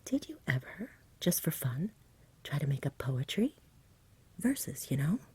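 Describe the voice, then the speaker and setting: A woman voicing a lazy, genial, poetry-loving male dragon from a storybook in a soft whisper, with a chatty, polite, dreamy manner. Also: low voice, big pitch swings, measured pace, slightly rough, exaggerated voice. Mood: bashful, eager.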